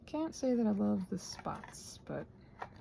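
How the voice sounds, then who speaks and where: A soft-spoken woman chatting casually and musing aloud as a craft vlogger, often slipping toward a whisper and gently reassuring herself. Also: medium pitch, sing-song, a little slow, vocal fry, background noise. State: short on sleep. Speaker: craft vlogger and ASMR host. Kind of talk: casual rambling craft chat.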